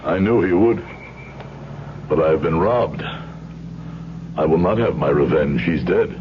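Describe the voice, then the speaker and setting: An actor playing a grieving, vengeful middle-aged shoemaker with formal, deliberate speech, in a 1970s radio drama style. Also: male voice, very deep voice, animated. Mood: bitter. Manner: formal, deliberate, rhetorical questions. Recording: muffled, noisy old radio broadcast.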